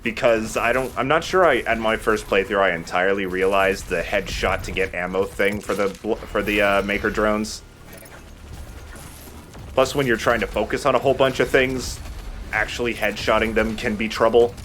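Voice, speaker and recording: A man's voice: fairly low pitch, fairly even intonation, moderate pace, a bit bright, slight background noise. A man giving casual, explanatory let's play commentary as he plays, with some mild swearing. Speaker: let's play host and player. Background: video game music.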